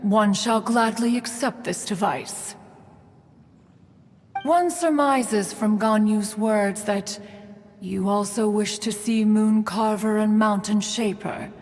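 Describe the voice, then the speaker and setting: A woman plays a haughty, refined immortal in a fantasy game. She speaks slowly and formally, with archaic, fussy dignity. Long reverb surrounds her voice, with music behind it. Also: mid-range voice, steady tone, darkish tone, natural voice. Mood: pleased, formal. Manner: formal, archaic, refers to self as "one". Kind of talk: scripted game dialogue.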